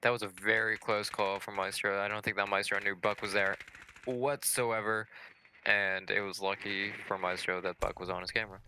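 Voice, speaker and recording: Man, esports analyst, slightly low pitch, sharp bright tone, conversational, opinionated, live match commentary. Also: little pitch movement, moderate pace, a little hiss or hum.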